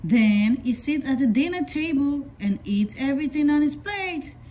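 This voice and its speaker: A woman reading a children's picture book aloud in a deliberate, simple storytelling way for young children. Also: high-pitched, animated, dark tone. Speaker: storybook reader.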